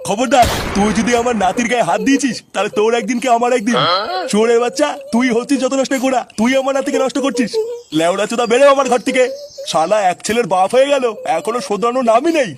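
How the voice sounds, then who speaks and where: A man's voice: very high-pitched, fast, a crowd in the background. A man voicing a protective, scolding old grandfather in a comic cartoon dub, given to exclamations. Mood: angry scolding. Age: older.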